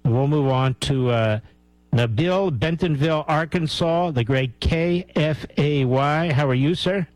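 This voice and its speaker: A man hosting a live call-in radio show, speaking in a deliberate, emphatic way. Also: a little high, darkish tone, no room sound, rough recording. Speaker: talk radio host. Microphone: close studio radio microphone.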